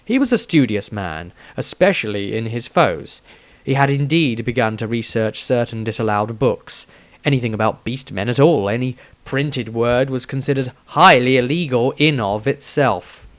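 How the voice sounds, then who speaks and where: Male narrator, mid-pitched voice, theatrical delivery, scripted fantasy storytelling. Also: animated, normal speed. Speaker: author reading his own story.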